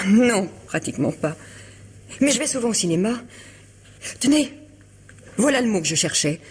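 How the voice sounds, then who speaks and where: Hesitant, elegant woman in radio drama, husky. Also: medium pitch, very animated, normal speed, a little mellow. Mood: pensive, intrigued. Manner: hesitant, restarting, articulate and formal. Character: elegant troubled woman confiding in her lawyer friend. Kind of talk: vintage French radio drama acting.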